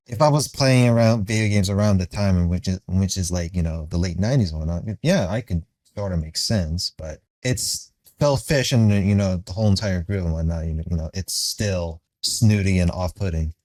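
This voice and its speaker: A man's voice: low voice, darkish tone, dry recording. A man giving casual, opinionated video commentary in a rambling, sweary way. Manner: rambling, profane.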